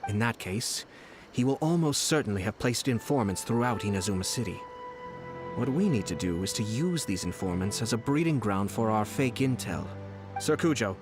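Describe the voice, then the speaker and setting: An actor playing a calm, scheming young noble strategist in a video game, laying out plans in a smooth, measured, persuasive way. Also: male voice, on the low side, close to an everyday voice. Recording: game audio with music behind.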